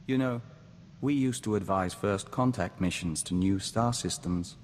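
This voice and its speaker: A man voices a serene game diplomat bonded to an insect hive, his pitch deep. He speaks formally and carefully, as a collective "we." The recording has long reverb and some background noise. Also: close to an everyday voice. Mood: calm, reflective. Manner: formal, measured, speaks of himself as we. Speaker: game companion character. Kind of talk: scripted game dialogue acting.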